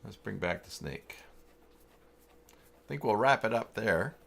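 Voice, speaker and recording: A man's voice: lowish voice, animated, slow, gravelly, fairly bright tone, husky. A man hosting a casual livestream, thinking aloud in a rambling, muttering way. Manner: rambling, muttering through thoughts. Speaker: livestream host.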